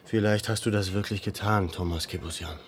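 A firm, probing leader. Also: male voice, low voice, fairly even intonation, brisk, slight background noise. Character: determined landowner leader, adult man. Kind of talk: radio play acting.

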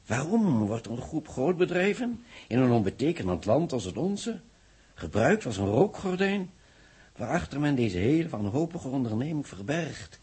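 A grave astronomer guy, very raspy, voice swings a lot, old radio play, slow solemn pauses. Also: mid-range voice, a little mellow, full character voice. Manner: measured, solemn, dramatic pauses. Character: grave male astronomer warning of solar catastrophe. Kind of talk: 1960s Dutch radio drama acting. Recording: old 1960s radio recording.

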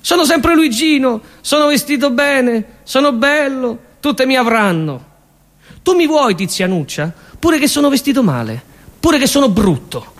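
A man's voice: very high-pitched, sing-song, unhurried, fairly bright tone, in a large hall, full character voice. A man playing a vain, self-pitying male would-be seducer in a stage comedy, declaiming in short repetitive statements. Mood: boastful.

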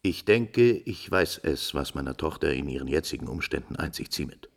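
A man's voice: rumbling low, animated, moderate pace, creaky, full character voice. An actor playing a proud, anguished middle-aged father in a 1950s German radio drama, stern and theatrical, bitterly holding back rage. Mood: stiff pride. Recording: vintage 1950s radio recording.

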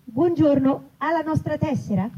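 A woman's voice: high-pitched, moderate pace, dark tone, muffled recording, voice pushed up, lightly acted. A woman voicing a mild, polite and apologetic shop cashier in a comic story reading. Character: mild, courteous supermarket cashier, patiently polite.

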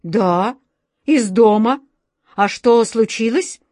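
Storybook wizard, read by a woman: even-tempered man, steady, dry close voice. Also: fairly high pitch, slow, dry recording. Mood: calm. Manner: calm, puzzled, reassuring. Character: calm kindly wizard, adult man.

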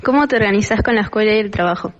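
A woman's voice: mid-range voice, very fast, big-room sound, lo-fi sound. A teenage girl, a student interviewer, speaking briefly and informally. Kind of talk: short, informal questions and answers.

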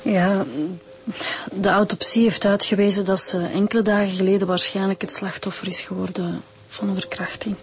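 An actress playing a composed, probing Flemish police inspector in a radio drama, with measured speech. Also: female voice, mid-range voice, level tone, natural voice. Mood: tactful. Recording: radio drama with clear music behind.

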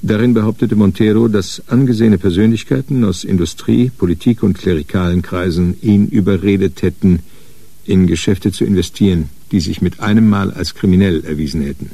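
A man narrating a crime radio drama in a measured, dry storytelling manner. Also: low voice, gravelly, warm tone, husky, no echo, heavily acted. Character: sober male narrator of a detective story.

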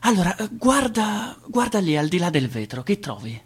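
An actress playing a patient, coaxing guide in a theatrical radio play, breathy and repeating her phrases. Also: mid-range voice, very animated, moderate pace. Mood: encouraging, searching. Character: patient guiding voice coaxing a newborn through imagining her life.

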